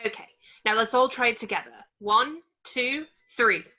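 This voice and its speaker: A woman plays a brisk, encouraging coach with a bright, highish voice, like a drama teacher. The recording is slightly dull and lo-fi. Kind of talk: amateur table read of a film script. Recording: dull lo-fi home microphone.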